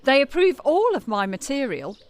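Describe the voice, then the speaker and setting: A woman's voice: high-pitched, sing-song, moderate pace. An actress playing a bold, flirtatious, self-assured French woman cabaret singer in an audio drama. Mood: charming, persuasive. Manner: coquettish, self-assured, theatrical.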